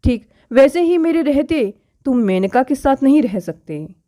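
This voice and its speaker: High-voiced, indignant wronged wife, read by a woman. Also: lively intonation, a little slow, mellow. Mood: indignant, hurt. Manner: indignant, challenging, rhetorical questioning. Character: proud, wronged modern wife confronting her husband.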